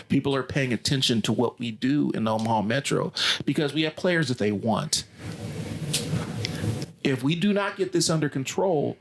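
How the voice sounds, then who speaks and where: A man's voice: mid-range voice, a little hiss or hum. Blunt-talking man. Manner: blunt, ranting, casual swearing. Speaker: podcast co-host, basketball coach. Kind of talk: opinionated podcast discussion.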